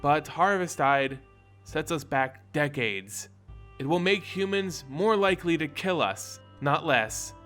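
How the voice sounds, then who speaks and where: A man voicing an earnest, conflicted werewolf heroine in an audio story, halting and heartfelt. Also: on the high side, lively intonation, fairly bright tone. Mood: troubled, earnest. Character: earnest, troubled werewolf woman, idealistic and conflicted. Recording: music behind the voice.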